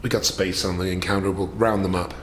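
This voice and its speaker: A man roleplaying in a tabletop game, talking plans through in a brisk, businesslike way. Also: deep voice, fairly even intonation, fairly bright tone. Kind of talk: in-character planning talk. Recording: echoey room, faint noise.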